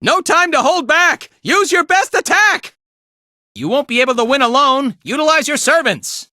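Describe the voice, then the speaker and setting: A man's voice: extremely high pitch, lively intonation, normal speed, sharp tone, dry recording, clean studio sound, exaggerated voice. An actor playing a laid-back, playful male fighter in a video game, with an easygoing, casual drawl. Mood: excited. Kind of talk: animated video game voice lines.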